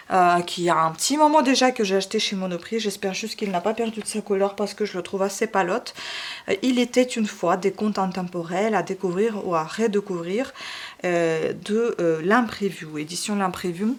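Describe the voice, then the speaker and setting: A woman's voice: mid-range voice, moderate pace. A woman speaking French as a second language, casually presenting her book collection with frequent hesitations and enthusiasm. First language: not French. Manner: chatty, hesitant with frequent ums, enthusiastic about books. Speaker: book vlogger.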